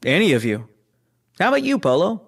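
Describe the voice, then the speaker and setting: An actor playing a chatty, teasing colleague on a space station in a sci-fi audio drama, casual and inquisitive. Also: male voice, high-pitched, wildly swooping pitch, fairly quick, vocal fry, full character voice. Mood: curious, teasing.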